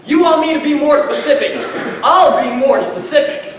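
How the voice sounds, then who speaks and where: A man's voice: very high voice, normal speed. A teenage male student acting a blunt, belligerent kid who hates plays, in a readers theater piece. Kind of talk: high school readers theater performance. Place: large hall, on stage before an audience. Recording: echoey hall, far mic, background noise.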